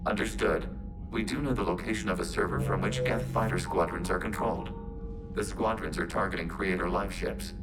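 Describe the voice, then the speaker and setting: A man voicing a calm, formal machine intelligence in a video game, with clipped, precise, emotionless statements and a synthetic tone. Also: sits low, a little fast, loud music behind the voice, natural voice, poor audio. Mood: flat, logical.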